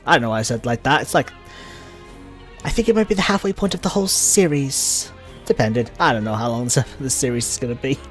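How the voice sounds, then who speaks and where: A man's voice: medium pitch, brisk, fairly bright tone. A man hosting a casual video game walkthrough, informal and thinking aloud as he plays. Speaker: let's play host. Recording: game music behind the voice. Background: video game music.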